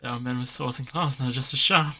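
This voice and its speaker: A man voicing a frightened small hybrid jackalope creature in an audio drama, speaking haltingly in a raspy, creaky voice. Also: mid-range voice, steady tone, pushed and bright. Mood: fearful, pleading. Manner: halting, timid, stammering.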